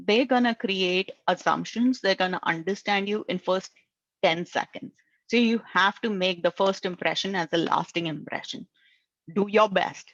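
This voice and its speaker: A woman's voice: medium pitch, dry recording, muffled recording. A woman with an Indian accent, for whom English is a second language, giving earnest networking advice and often tagging her lines with "right?". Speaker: career coach. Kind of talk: advice talk.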